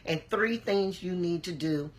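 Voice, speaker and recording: A woman, hoarse from a cold, giving an earnest, encouraging motivational talk to camera with plenty of filler "um"s. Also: fairly low pitch, a little slow, poor audio. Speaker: motivational vlogger.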